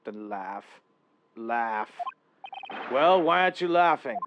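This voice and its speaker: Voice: mid-range voice, expressive, slow pace, noisy background. A performer voicing a proud young male prosecutor, bitter and scornful, in a fan dub. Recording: slightly dull lo-fi with music.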